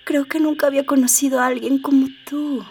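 Bratty flirty space princess, super high swoopy voice, radio play with music behind. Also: female voice, level tone, a little fast, a little mellow, far from the mic. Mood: coy, romantic. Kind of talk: comedic radio drama acting.

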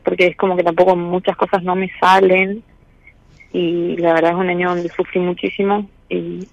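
A woman's voice: fairly low pitch, little pitch movement, normal speed, vocal fry, dry recording. An Argentine woman in her thirties on a call, talking casually and hesitantly with many filler words. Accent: Argentine Spanish. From Misiones, Argentina. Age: 30s. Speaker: caller. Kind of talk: casual personal chat. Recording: dull, band-limited call audio.

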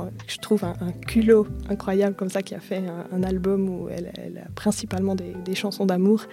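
A young woman, a singer-songwriter answering interview questions in a hesitant, soft-spoken way with many fillers. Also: medium pitch, vocal fry. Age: young adult.

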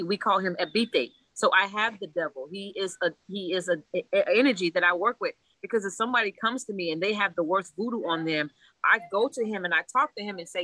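A woman's voice: mid-range voice, bright, forceful tone, no room sound, poor audio. A woman from Haiti, a priestess, talking bluntly and animatedly in a casual conversation, laughing often and swearing freely. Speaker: Ifa priestess guest.

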